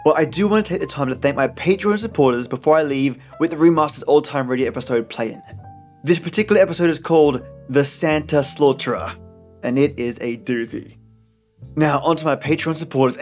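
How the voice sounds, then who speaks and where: A man's voice: on the high side, a little fast. A man hosting a podcast, reading a scripted introduction in a theatrical, playful manner. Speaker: podcast host. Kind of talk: scripted dramatic introduction and listener thanks. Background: music.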